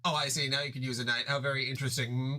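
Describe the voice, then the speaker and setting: A furious young troll who hurls crude insults, as a man voices him. The voice is forceful and tense. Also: medium pitch, level tone, racing, natural voice. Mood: gloating. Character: angry foul-mouthed young male troll.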